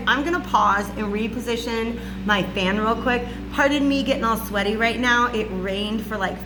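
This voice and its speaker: A loud woman with a raspy voice gives a chatty spiritual lesson at home and often checks in with "okay?". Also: mid-range voice. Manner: chatty, says "okay?" and "all right?" often. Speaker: spiritual channel host. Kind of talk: casual teaching monologue. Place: warm room at home with a fan running. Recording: home recording with clear hum.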